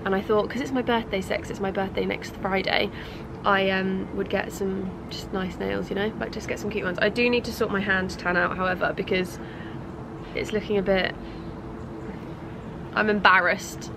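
A woman's voice: mid-range voice, fairly even intonation, normal speed, creaky, background noise. A young British woman with a congested voice chatting casually and informally to camera in a rambling way. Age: young adult. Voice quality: stuffy, congested. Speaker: lifestyle vlogger. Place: outdoor balcony.

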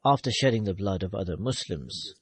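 A man giving the English voice-over of a sermon, reading it formally in measured, phrase-by-phrase delivery. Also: lowish voice. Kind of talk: formal read translation of a sermon.

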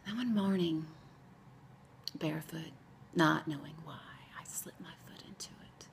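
A wistful, wounded queen delivers a dramatic monologue about herself as acted by a woman. Her voice is hushed and breathy with a gravelly fry in it. She moves very slowly with long pauses, over faint background noise. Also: low-pitched. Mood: hushed, aching. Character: wistful, wounded former lover, the Evil Queen, grown woman.

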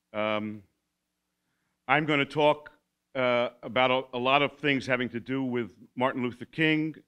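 An older man giving an informal keynote talk at a lectern in a school hall, halting, with many fillers and restarts and wry asides. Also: medium pitch, bright, forceful tone, smooth. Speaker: keynote speaker. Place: school hall with a seated audience. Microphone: podium mic, standing at lectern.

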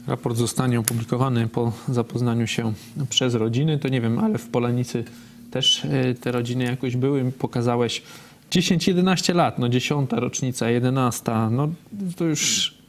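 A man's voice: mid-range voice, fairly even intonation, normal speed, a little hiss or hum. A man in his thirties hosting a political talk show in a TV studio, chatting casually and skeptically. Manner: casual, skeptical, interjects often. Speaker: show host.

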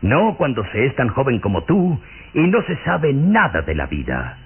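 Pompous old aristocrat for radio drama, gravelly, animated, disdainful, on a muffled old recording. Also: male voice, mid-range voice, moderate pace, exaggerated voice. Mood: scornful. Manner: pompous, scornful. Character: haughty, snobbish old aristocrat father. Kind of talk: Mexican radio drama acting.

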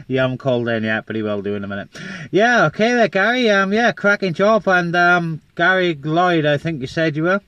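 A man chatting casually over CB radio from a parked car on open moorland, relaxed with lots of fillers. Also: fairly high pitch, smooth, dry recording, highs cut off. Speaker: CB radio operator and vlogger. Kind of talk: casual on-air radio chat.

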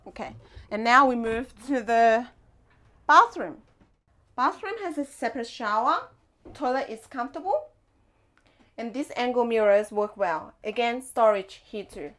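Young female vlog host, fairly high voice, casual guided-tour delivery, recorded in a compact boat cabin. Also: lively intonation, unhurried, long pauses, fairly bright tone. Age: young adult. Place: inside a small sailboat cabin.

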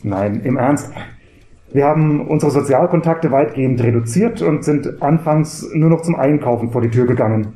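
A man playing an articulate, bookish teacher in a cabaret audio play, measured, wry and wordy. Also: medium pitch, dark tone, echoey room, close to an everyday voice. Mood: earnest.

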